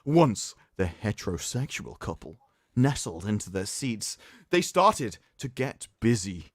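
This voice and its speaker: A man hosting a livestream, reading aloud in a mocking, theatrical way with sarcastic asides and drawn-out emphasis. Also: lowish voice, sing-song, no echo, clean studio sound. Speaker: livestream host. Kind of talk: mocking dramatic reading of an article with commentary.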